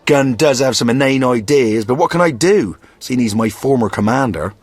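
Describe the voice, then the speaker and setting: An actor playing a crusty, wily old man in a video game, chatty and grumbling with a raspy voice. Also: mid-range voice, lively intonation, normal speed, no echo, close to an everyday voice. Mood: wily, amused. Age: older.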